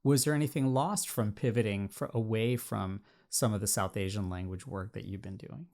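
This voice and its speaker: A man's voice: lowish voice, normal speed, darkish tone. A man hosting a podcast, asking long, winding questions with frequent restarts and repeated words. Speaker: podcast host. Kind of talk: interview conversation.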